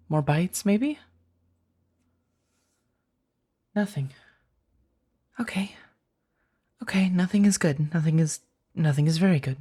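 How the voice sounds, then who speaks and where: A woman playing a low, husky ancient vampire. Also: heavily acted. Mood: worried, remorseful. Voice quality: breathy, husky. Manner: measured, formal, hushed delivery. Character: ancient female vampire, protective, stern, tender to her beloved. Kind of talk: ASMR roleplay audio drama.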